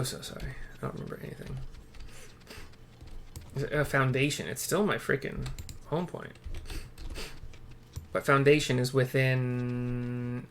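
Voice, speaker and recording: A man's voice: medium pitch, gravelly, fairly bright tone, slight background noise. A man giving casual, rambling commentary on his game playthrough, muttering asides and swearing now and then. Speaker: gamer narrating a playthrough.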